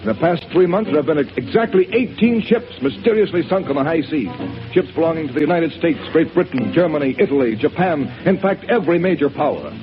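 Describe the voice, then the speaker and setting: An actor playing a formal official addressing a commission, in a 1930s radio drama on a dull old recording. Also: male voice, fairly high pitch, lively intonation, a little fast, music in the background, natural voice. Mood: grave. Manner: formal, grave speechmaking.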